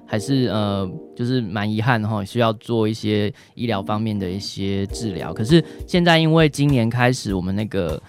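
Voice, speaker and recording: Low-voiced Taiwanese doctor over music. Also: male voice, moderate pace, hardly any pauses. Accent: Taiwanese Mandarin. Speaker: doctor, expert guest. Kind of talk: conversational question-and-answer on radio.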